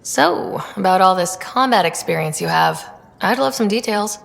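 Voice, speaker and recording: A woman's voice: mid-range voice, lively intonation, normal speed, creaky, long reverb tail. An actress playing a young, curious, easygoing space explorer in a video game, casual and direct in her questions. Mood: inquisitive. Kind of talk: video game character dialogue.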